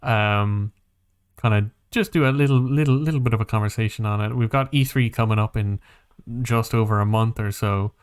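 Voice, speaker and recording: A man's voice: lowish voice, a little fast, clear, resonant tone. A man hosting a casual podcast about games and films, thinking aloud in a hesitant, rambling way. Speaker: podcast host.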